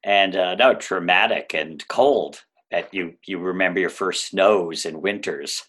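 Man, very low voice, podcast host, halting hesitant questions. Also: a bit bright, slightly rough. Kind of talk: conversational interview questions.

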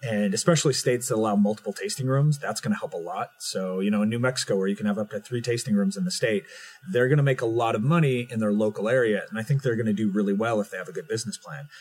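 Fast-talking husky man. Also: on the low side, a little mellow. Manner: fast, talkative, thinking aloud. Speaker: podcast co-host. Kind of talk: casual podcast chat.

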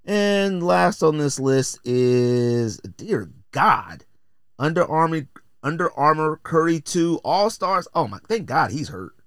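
An American man hosts a casual podcast in a very lively voice that swings up and down. He is blunt and joking, full of slang and theatrical emphasis. The sound is close and dry. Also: fairly high pitch, slow, clean tone, dry recording. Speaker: podcast host. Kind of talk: casual opinionated podcast commentary.

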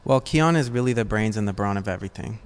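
A man with a fairly low voice talks as a guest in a casual interview. He speaks in a hesitant way, feeling for the right words as he explains things. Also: animated, fast talker, a little hiss or hum. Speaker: podcast guest, design business partner. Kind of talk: casual conversational interview answers.

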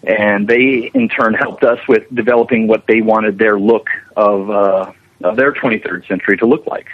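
A man's voice: lowish voice, fairly even intonation, moderate pace. A man being interviewed as a special effects animator, explaining his work conversationally with frequent restarts. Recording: narrow, tinny phone-like line.

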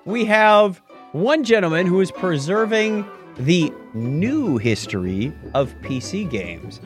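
A man's voice: sits high, slow pace, music in the background. A man co-hosting a casual tech podcast, rambling and correcting himself as he talks. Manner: rambling, self-correcting, lively. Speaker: podcast co-host.

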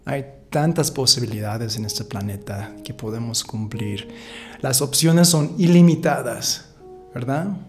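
A man whose first language is English, talking in a casual, rambling and thoughtful way as a podcast host. He often restarts his sentences and chuckles now and then. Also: medium pitch. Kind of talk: casual reflective monologue.

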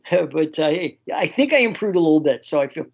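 A man's voice: fairly high pitch, vocal fry, husky. A middle-aged man with a Canadian accent talks in an effusive, rambling way, like a guest in a casual interview. His sentences loop and restart with plenty of conversational filler. The audio is slightly dull and lo-fi. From small town near Vancouver, Canada. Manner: effusive, rambling, frequent "you know".

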